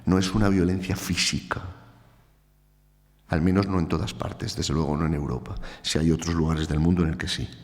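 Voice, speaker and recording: A man's voice: deep voice, fairly even intonation, moderate pace. A man from Spain with a Spanish accent giving an earnest, emphatic public lecture. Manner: earnest, emphatic, repeats phrases for stress. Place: large lecture hall. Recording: reverberant hall.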